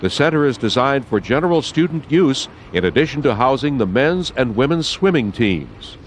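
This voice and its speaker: Lively American male narrator, scripted newsreel read. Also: mid-range voice, normal speed, background noise.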